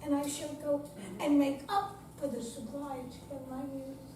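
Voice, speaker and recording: An older woman reciting poetry aloud as an amateur reader, deliberate and stumbling slightly at times. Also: sits high, level tone, mellow. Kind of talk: poetry recital before a live audience. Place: senior center room, recorded from afar. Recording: distant, echoey hall with clear background noise.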